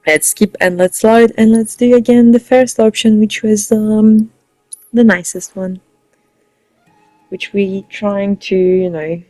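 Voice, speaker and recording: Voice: medium pitch, vocal fry, darkish tone, dry recording, rough recording. A gaming video host giving casual, rambling, informal commentary on a playthrough, thinking aloud.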